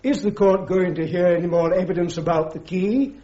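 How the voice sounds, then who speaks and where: Male actor, British, courteous firm legal officer, high mellow voice, radio drama. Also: fairly even intonation, lightly acted. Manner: courteous, formal, measured wording. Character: polite, firm judge advocate at military court. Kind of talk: full-cast radio drama acting. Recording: muffled, roomy radio recording.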